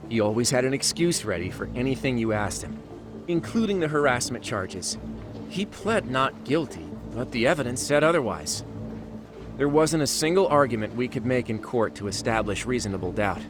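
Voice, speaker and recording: A man's voice: mid-range voice, animated, normal speed, close to an everyday voice. An actor playing a man who briefs his detective partner on a case in a game cutscene, in an explanatory tone. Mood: explaining. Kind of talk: video game cutscene voice acting. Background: background music.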